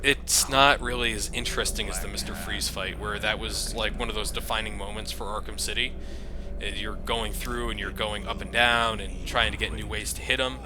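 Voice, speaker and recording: A man's voice: fairly low pitch, fairly even intonation, moderate pace, noisy background. A man giving casual, informal commentary on a video game. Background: game music and sound effects.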